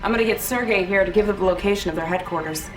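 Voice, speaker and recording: A woman playing a brisk, cocky field agent giving intel in a video game cutscene. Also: mid-range voice, recorded from a distance, lightly acted. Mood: brisk, wry. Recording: echoey, noisy game cutscene.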